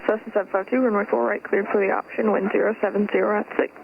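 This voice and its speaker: Rapid-fire female air traffic controller over radio. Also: medium pitch, little pitch movement, creaky. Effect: aircraft radio. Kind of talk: clipped radio phraseology. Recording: crackly muffled radio transmission, loud noise.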